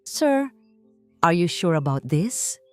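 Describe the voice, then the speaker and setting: A woman's voice: fairly low pitch, big pitch swings, takes it slowly, darkish tone. A woman with a Filipino accent voicing a worried, cautious male head of security in an audiobook. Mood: worried, urgent. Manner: anxious, urgent. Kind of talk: audiobook character dialogue. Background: background music.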